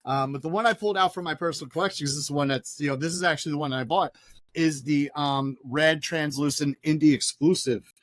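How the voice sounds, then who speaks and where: Man, podcast co-host, ranting, swearing, higher-pitched, dry sound. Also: quick pace, dry recording. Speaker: podcast co-host and record store owner. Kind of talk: casual chat. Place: record shop.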